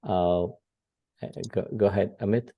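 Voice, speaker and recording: A man hosting an informal religious class, talking in short, halting phrases. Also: fairly low pitch, fairly even intonation, dry recording, narrow, tinny audio. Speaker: session host.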